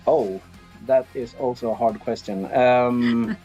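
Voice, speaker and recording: A man's voice: mid-range voice, slow pace, dark tone, slightly rough, lo-fi sound, soft background music. A middle-aged man with a Swedish accent, giving hesitant, easygoing answers in a casual interview. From Sweden. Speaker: interviewed band drummer.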